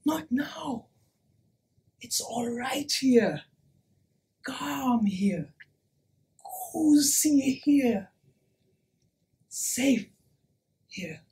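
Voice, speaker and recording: Woman acting high-voiced, slow, impassioned preacher. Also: expressive. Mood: hushed, soothing. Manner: slow, deliberate, emphatic, with dramatic pauses and repetition. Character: impassioned preacher urging a crowd to love. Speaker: actor performing a monologue. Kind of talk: theatrical dramatic monologue, sermon-like oratory.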